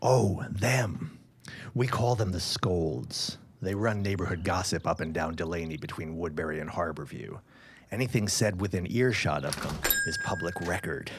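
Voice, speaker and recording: Low-voiced, courteous middle-aged gentleman played by an actor. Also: moderate pace, background noise, natural voice. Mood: wry. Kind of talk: period audio drama acting.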